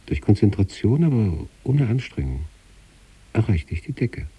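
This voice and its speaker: Very deep, dark, husky introspective man. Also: big pitch swings, moderate pace, heavily acted. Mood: calm, absorbed. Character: introspective sanatorium patient recounting eerie out-of-body experiences. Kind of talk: literary first-person radio narration.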